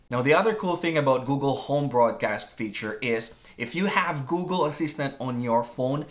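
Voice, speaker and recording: A man's voice: mid-range voice, fairly bright tone. A man hosting a casual how-to tech video in an upbeat, chatty way. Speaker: host of a smart home tech channel.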